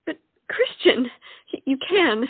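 A proud young heroine of a period comedy acted by a woman, indignant and melodramatic. She speaks high with wide swings of pitch, heard through a thin, lo-fi stage recording. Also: animated, slow pace, full character voice. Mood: flustered.

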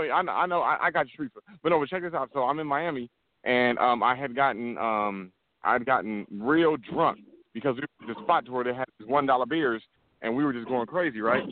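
A quick, fairly high-voiced man chatting casually, rambling and restarting as he walks. His voice comes through a tinny, phone-like line. The sound is dry and close. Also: no echo. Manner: rambling storyteller, many restarts. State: walking while talking. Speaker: podcast co-host. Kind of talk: casual chat.

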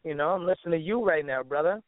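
A man's voice: on the high side, fast talker, no room sound. A man chatting casually as a phone-in guest from his car, repeating himself in an easygoing slangy way. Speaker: interview guest, recording artist. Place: sitting in a parked car. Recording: tinny, narrow phone line.

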